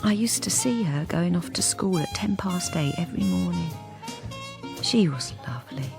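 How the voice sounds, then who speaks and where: Wistful lonely wife telling her story, intimate, on the low side, radio drama with music behind. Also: expressive, normal speed, vocal fry. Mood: wistful, hushed. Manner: intimate, reflective, softly hesitant. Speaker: lead character and narrator.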